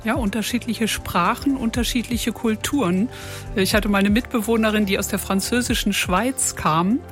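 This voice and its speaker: A woman's voice: mid-range voice, normal speed, faint music behind. A woman, a voice and singing coach, explaining things conversationally as a radio guest. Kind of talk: radio interview.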